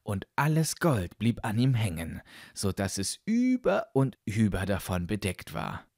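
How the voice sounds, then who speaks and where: A male storyteller reads a fairytale in a dry recording. His delivery is unhurried and deliberate, with a lively, expressive melody. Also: on the low side, dry recording. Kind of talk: fairytale audiobook narration.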